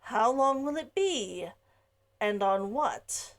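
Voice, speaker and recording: A woman's voice: a little high, animated, slow. A woman reading the part of a proud young noblewoman who stays polite while holding back frustration. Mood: tense-polite.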